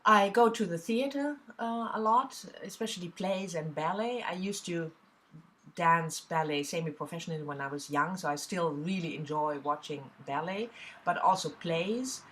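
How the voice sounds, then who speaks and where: A woman's voice: fairly low pitch, moderate pace, fairly bright tone, slight background noise. A middle-aged woman from southwest Germany with a German accent, chatting casually to camera as a book vlogger, with frequent fillers and restarts.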